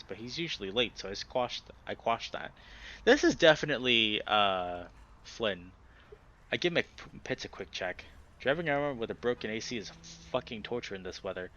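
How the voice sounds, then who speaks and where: A man reads a visual novel aloud as a let's play host, breaking into casual, expressive commentary. His voice sits at an average pitch, on a slightly dull, lo-fi microphone. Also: slight background noise. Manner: expressive reactions, swears casually.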